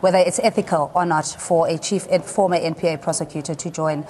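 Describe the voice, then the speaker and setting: South African woman hosting, mellow lowish voice. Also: steady tone, fairly quick. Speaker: TV panel host. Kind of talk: current affairs interviewing. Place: TV studio.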